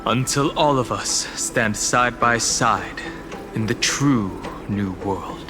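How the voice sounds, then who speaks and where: A man playing a solemn, resolute young wanderer in a video game, measured, earnest and thoughtful. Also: mid-range voice, close to an everyday voice. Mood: resolute, grateful. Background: music.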